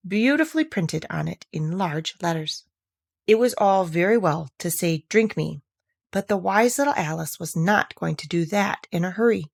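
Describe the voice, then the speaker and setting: A woman reading a children's classic aloud as a storybook narrator, with expressive, deliberate emphasis. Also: lowish voice, gravelly, dry recording, studio quality. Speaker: audiobook narrator.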